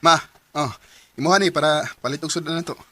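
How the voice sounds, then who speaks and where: Male actor as upbeat teen son, high and lively, noisy track. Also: quick pace, lightly acted. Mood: cheerful. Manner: lively, affectionate, laughing easily. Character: teenage son, cheerful motorbike-taxi driver. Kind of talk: radio drama acting. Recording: noisy background.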